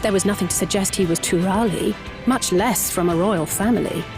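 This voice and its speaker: An actress playing a thoughtful young adventurer who comments in a reflective, matter-of-fact way in a video game cutscene. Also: female voice, mid-range voice, brisk, close to an everyday voice. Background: game score music.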